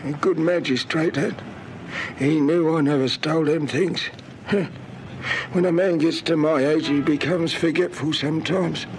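An actor playing an elderly, poor, humble man in a radio drama, rambling and halting in rustic ungrammatical speech. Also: a little high, fairly even intonation. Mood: rambling, earnest. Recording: noisy old radio drama recording.

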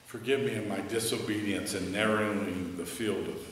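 A middle-aged American man, a pastor preaching earnestly from a church pulpit, then leading a hushed prayer. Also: lowish voice, fairly even intonation, normal speed, a little hiss or hum. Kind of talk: sermon and altar call. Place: church sanctuary, standing at a pulpit. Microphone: headset mic. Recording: echoey church hall, from a distance.

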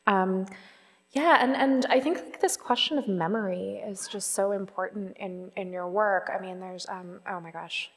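A young adult woman interviewing onstage, her voice at a middle pitch. She hedges and circles with many fillers and repeated "like". Her headset mic carries a long hall reverb. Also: moderate pace. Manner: tentative, many fillers and hedging "like". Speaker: art historian interviewer. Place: lecture hall stage, seated in armchair.

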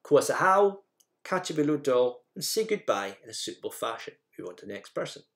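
A man's voice: mid-range voice, big pitch swings, fairly quick, fairly bright tone. A man presenting a language lesson in an informal, instructional manner, guiding learners through slides and examples.

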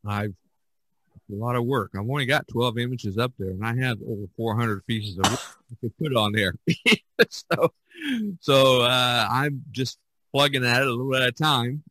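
A man hosting a casual podcast discussion, thinking aloud in a halting way and repeating words. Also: mid-range voice, bright, forceful tone. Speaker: podcast host and artist.

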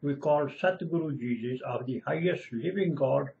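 An older man, a retired lecturer whose first language is Punjabi, slowly and earnestly expounding scripture at home. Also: fairly high pitch, creaky, a little mellow. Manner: slow, earnest, lecturing, repeats lists of divine names. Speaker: retired lecturer giving Bible exposition. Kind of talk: home-video scripture teaching. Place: small room indoors at home. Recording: dull lo-fi webcam audio.

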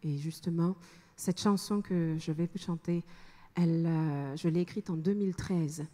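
A young adult woman from France, a singer-songwriter, speaking slowly and earnestly into a handheld mic on stage before her song. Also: fairly low pitch. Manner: slow, soft, earnest speech with pauses. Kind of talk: spoken introduction to a song on stage. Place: large concert hall stage with audience. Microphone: handheld stage microphone. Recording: long hall reverb, live band behind.

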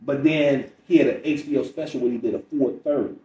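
A man's voice: medium pitch, a little fast, mellow, dull audio. Middle-aged American man storytelling, roomy distant sound. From Chicago, United States. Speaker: stand-up comedian, podcast guest. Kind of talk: conversational storytelling in an interview. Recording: roomy, distant mic, faint noise.

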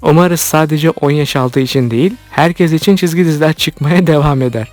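Male video host, slightly high voice, scripted commentary read, light music bed underneath. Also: normal speed, a little mellow. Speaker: YouTube host commenting on cartoons. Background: background music.

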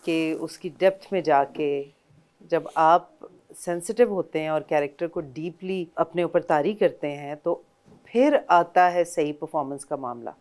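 A woman's voice: deep voice, measured pace, a little mellow. A woman in her fifties, an actress guest, explaining thoughtfully on a TV talk show. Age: 50s. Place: TV studio.

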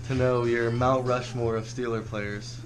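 A man's voice: medium pitch, flat delivery, unhurried, background noise. A young man hosting a casual vlog in a small bathroom, joking and reading out fan questions. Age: young adult. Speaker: vlog host.

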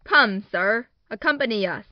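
A woman's voice: a little high, lively intonation, normal speed, dry recording, dull audio, lightly acted. A woman playing a polite, inquisitive police officer in an audio drama, putting formal, clipped questions. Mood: polite, probing.

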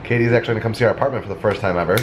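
A man's voice: fairly low pitch, racing. A young man in his twenties, congested from a sinus infection, chatting casually and a little tired as he vlogs at home and at a resort at night. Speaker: vlogger. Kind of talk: casual vlog chat. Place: apartment at home, then outdoors at a resort at night. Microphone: handheld camera at arm's length. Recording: noisy handheld camera audio.

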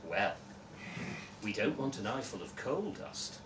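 Casting: male reader as female museum guide, cheerful, measured, explaining patiently, heard from off in an echoey room. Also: lowish voice, very animated, a bit bright. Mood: encouraging. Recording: distant, echoey room with background noise.

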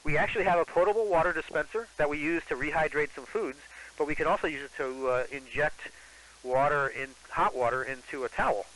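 A man's voice: on the high side, a little fast, a bit bright. A man speaking as an astronaut over a ham radio transmission, answering questions in a procedural, explanatory way. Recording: hissy, noisy ham radio reception. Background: radio hiss.

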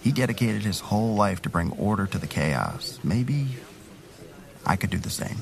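A man's voice: lowish voice, lively intonation, normal speed, gravelly. An actor playing a wry, earnest city councilman who is wounded, in an audio drama. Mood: reflective. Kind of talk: full-cast audio drama acting.

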